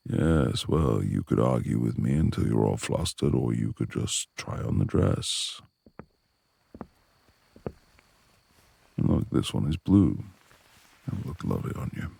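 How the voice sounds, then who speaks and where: Brooding manor recluse, acted by a man, gruff but tender: breathy, expressive delivery, old-fashioned courtly orders, intimate ASMR romance roleplay, recorded dry. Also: extremely low pitch, no echo, exaggerated voice. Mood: coaxing. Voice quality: gravelly, breathy. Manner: formal old-fashioned phrasing, commanding.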